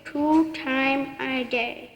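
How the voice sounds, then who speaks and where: A woman voicing a friendly, encouraging young girl puppet in a live show for children, speaking gently and reassuringly. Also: sits high, steady tone, very slow, muffled recording, full character voice. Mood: kindly. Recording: echoey hall, taped from afar.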